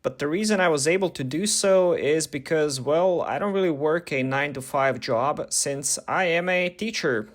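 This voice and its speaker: A young man whose first language is Croatian, hosting a casual question-and-answer video and chatting in a relaxed way full of fillers. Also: mid-range voice. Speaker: YouTube channel host. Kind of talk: casual talk to camera, Q&A.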